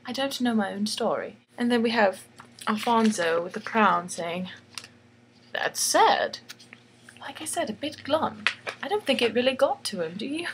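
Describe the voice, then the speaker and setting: A woman's voice: a little high, lively intonation, normal speed, a little hiss or hum, rough recording. A woman reading a bedtime story in a theatrical, tongue-in-cheek way, giggly and chatty, repeating phrases for emphasis. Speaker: storyteller and illustrator.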